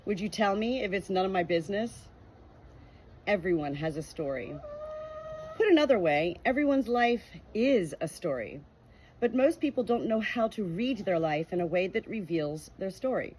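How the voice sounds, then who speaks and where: An earnest woman reads a faith-based book aloud at an unhurried pace, pausing now and then to share her own reflections. Her voice sits in a middling register, with a faint rooster crowing in the background. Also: medium pitch, expressive, a little mellow, a little hiss or hum. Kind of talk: reading a nonfiction book aloud with personal reflection.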